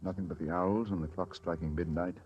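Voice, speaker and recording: An actor playing an incisive, composed English gentleman detective in a 1940s radio drama, speaking with authority. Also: low-pitched, fairly even intonation, background noise, lightly acted. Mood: pensive. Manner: crisp, authoritative, deliberate. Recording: muffled old radio recording.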